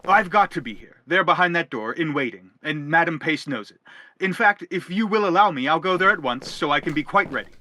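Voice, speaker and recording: An actor playing an earnest, insistent gentleman in a stage play, politely and urgently explaining himself. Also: a little high, expressive, brisk. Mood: eager.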